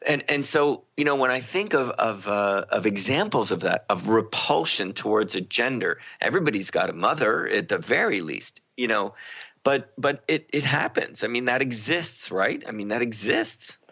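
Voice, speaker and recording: A man co-hosting a radio discussion in a conversational, reflective way, feeling out his thoughts haltingly. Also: mid-range voice, expressive, pushed and bright. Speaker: radio show co-host. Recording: slightly dull lo-fi radio audio.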